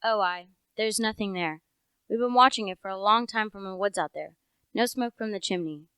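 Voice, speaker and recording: A woman's voice: medium pitch, moderate pace, bright, forceful tone, clear, resonant tone, no room sound, lightly acted. A teenage female actor playing an opinionated, suspicious rustic village constable in a radio play, folksy and insistent. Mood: excited, certain.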